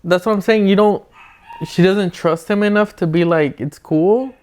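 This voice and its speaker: A male podcast host with a fairly high, very clear and smooth voice. He talks casually and slangily, arguing his opinions like he's chatting with a friend. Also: moderate pace, darkish tone. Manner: informal, slangy, argumentative. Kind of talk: casual advice podcast banter.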